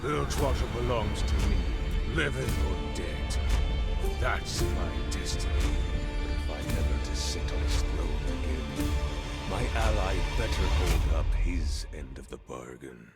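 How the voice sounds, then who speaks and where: A man playing a menacing, commanding pirate tyrant with a deep gravelly voice in a video game. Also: expressive, unhurried, full character voice. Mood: menacing, proud. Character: menacing pirate tyrant set on reclaiming his throne. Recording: loud music under the voice.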